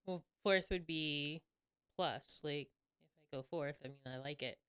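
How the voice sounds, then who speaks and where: A young woman co-hosting a podcast in casual chat, with an expressive, flowing way of talking and easy giggles. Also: deep voice, a little slow, clear, resonant tone. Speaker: podcast co-host.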